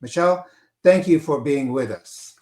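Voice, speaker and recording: A man hosting a live interview, talking haltingly with many fillers and a repeated "on there". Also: a little high, warm tone, echoey room. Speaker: host, company director of school services.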